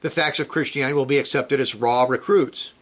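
Bible teacher, male, bright and forceful tone, reads with emphasis, loose asides with restarts. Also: mid-range voice, fairly even intonation, a little fast. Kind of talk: reading a theology book aloud, then commenting and praying.

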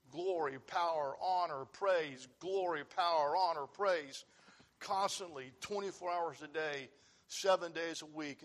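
Man, preacher, Bible sermon, loud earnest voice, slightly high pitch. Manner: loud voice, earnest, reads scripture aloud.

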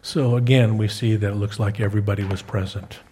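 A man's voice: lowish voice, steady tone, fairly quick, dark tone, breathy edge. A man preaching a sermon, folksy with joking asides, rising to emphatic peaks on key words.

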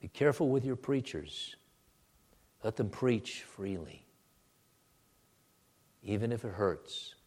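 A man preaching a sermon and leading a prayer, moving from quiet low asides to loud, high exhortation. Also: expressive, slightly rough. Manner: deliberate, drawn-out preaching delivery. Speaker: pastor.

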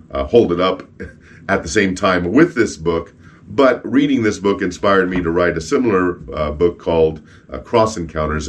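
A man with a rough, froggy voice from a cold hosts a podcast, chatting casually with joking asides and reading stories aloud. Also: sits low. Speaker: podcast host and street evangelist.

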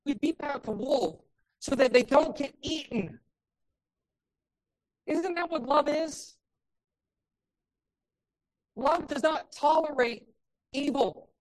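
A woman pastor preaching a sermon with a loud voice, building passionately to emphatic peaks. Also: high-pitched, takes it slowly, pauses often, rough recording.

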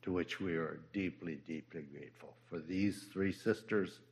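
A man near ninety giving a halting memorial tribute at a church service, stumbling over words with fillers. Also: sits low. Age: older, near ninety. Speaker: church member giving a eulogy.

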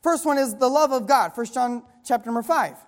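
A woman preaching a sermon, reading scripture slowly and asking the listeners to fill in the words. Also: high voice. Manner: call-and-response questions, reading scripture slowly.

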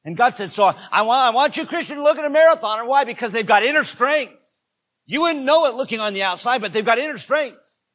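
A man in his sixties preaching a sermon as pastor, swinging between quiet asides and loud, emphatic preaching. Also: extremely high pitch, expressive, brisk. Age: 60s.

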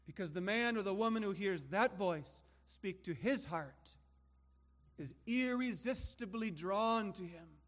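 A man preaching a sermon, slow and emphatic, building his points through rhetorical questions. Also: high-pitched, expressive, a bit bright, big-room sound.

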